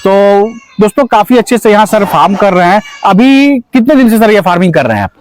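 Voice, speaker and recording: A man's voice: sits high, animated, fairly quick, faint music behind. A man hosting a farming video, explaining things and summing up as he presents and interviews at a goat farm. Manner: explanatory, repeats and sums up answers. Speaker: host of a farming video channel.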